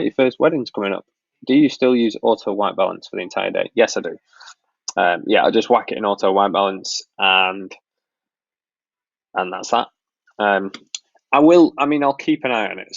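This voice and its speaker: A man from Lancashire in northwest England with a mild northern accent, hosting a casual live Q&A and answering viewers' questions in a relaxed, rambling way. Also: lowish voice, gravelly, dry recording, dull audio. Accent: Northern English (Lancashire). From Blackpool, Lancashire, northwest England. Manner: relaxed, rambling, frequent ums and restarts. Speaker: wedding filmmaker hosting a live Q&A. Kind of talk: casual live-stream Q&A, reading out chat questions.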